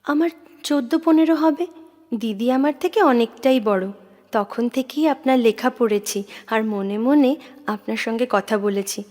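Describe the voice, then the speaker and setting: An actress playing a young woman who is a poet's devoted admirer, wistful, earnest and gently frank, in audio drama style. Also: fairly high pitch, measured pace, natural voice. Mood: earnest, confiding. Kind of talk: Bengali audio drama acting. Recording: reverberant voice with music underneath. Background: background music.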